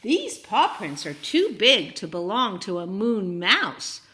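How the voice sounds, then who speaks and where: A woman's voice: mid-range voice, sing-song, takes it slowly, bright, forceful tone, slight background noise, heavily acted. Woman playing an earnest, emphatic storybook bear. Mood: wondering, scared. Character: determined, childlike storybook bear with big dreams.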